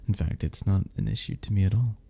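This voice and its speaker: A man playing a flirty, gentle cuddle club member in an intimate romantic ASMR roleplay, speaking softly with a breathy, gravelly voice that often drops toward a whisper. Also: lowish voice. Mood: flirty, teasing. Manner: soft, slow, teasing, with frequent chuckles.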